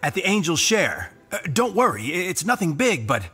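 Nervous game knight: highish, sharp voice, eager to please, stumbling over his words. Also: very animated, moderate pace, husky, exaggerated voice. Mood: awkward, hesitant. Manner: hesitant, stammering. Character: nervous, deferential young male town guard knight. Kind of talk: anime game voice acting.

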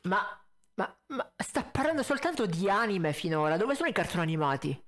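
A man's voice: high-pitched, big pitch swings, brisk, crowd noise. Blunt young man giving critical commentary. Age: 20s. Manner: blunt, pedantic, sarcastic. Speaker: reaction video host. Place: indoor home streaming setup. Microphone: close desk condenser mic with pop filter.

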